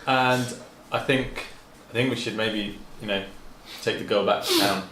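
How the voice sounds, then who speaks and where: A fairly low male voice in a roomy space with faint background noise. He talks casually and a little tentatively as a player in a tabletop game. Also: fairly even intonation, a little slow, bright, forceful tone. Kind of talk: casual chat.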